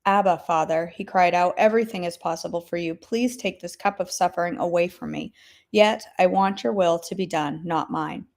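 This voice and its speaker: A woman with a wheezy voice who keeps clearing her throat, chatting with listeners and then reading scripture aloud as a devotional host. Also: on the low side, a little mellow. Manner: chatty, addresses listeners directly. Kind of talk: casual chat then Bible reading aloud.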